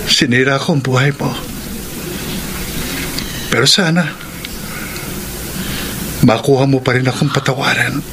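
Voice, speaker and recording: An actor playing a guilt-ridden former lover in a radio drama, contrite and halting, with a Filipino accent. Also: male voice, mid-range voice, steady tone, natural voice. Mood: remorseful. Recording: noisy ambience behind the voice.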